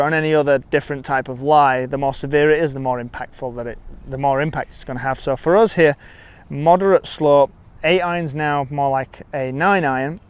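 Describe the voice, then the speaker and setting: Clear, higher-voiced male golf instructor. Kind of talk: instructional demonstration, explaining step by step. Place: outdoors on a golf course.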